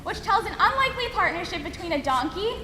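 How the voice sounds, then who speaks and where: A woman narrates a children's folktale show in a very high, presentational voice. She is in an echoing hall with clear noise behind her. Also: big pitch swings, quick pace, bright, forceful tone. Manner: presentational, audience-addressing. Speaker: stage narrator. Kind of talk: scripted children's storytelling narration. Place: large hall, live stage show. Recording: echoey hall, background noise.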